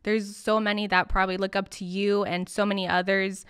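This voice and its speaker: A woman's voice: medium pitch, level tone, a little fast, a bit bright, smooth, dry recording, studio quality. A young woman hosting a podcast interview in an upbeat, enthusiastic manner. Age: young adult. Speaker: podcast host.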